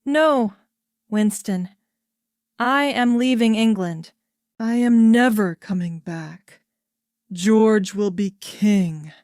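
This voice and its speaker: A woman voicing a grave, resigned king who speaks quietly and sadly, in a smooth, clear voice. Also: medium pitch, slow pace, long pauses, no room sound, studio quality, lightly acted. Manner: quiet, soft-spoken and resigned. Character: grave, sorrowful man, an abdicating king.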